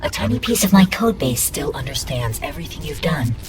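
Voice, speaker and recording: An actress playing a female artificial intelligence in a video game, speaking in a measured, formal, clinical manner. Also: low voice, sing-song, over loud music. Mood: urgent, pleading. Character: calm female rogue artificial intelligence mentor turned genocidal overseer. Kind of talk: scripted video game voice lines. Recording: long hall-like reverb.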